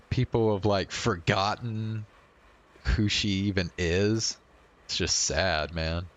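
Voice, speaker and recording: A man streaming and chatting casually in a laid-back, halting, slangy way. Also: low voice, steady tone. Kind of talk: casual livestream chat.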